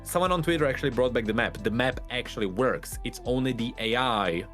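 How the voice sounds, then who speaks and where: Mid-pitched man chatting over faint music. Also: fairly quick, fairly bright tone. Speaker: podcast guest. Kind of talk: casual gaming discussion.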